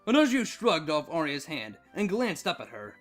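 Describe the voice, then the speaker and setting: A narrator reading fan fiction aloud in an expressive scripted storytelling style. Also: mid-range voice, moderate pace, faint music behind.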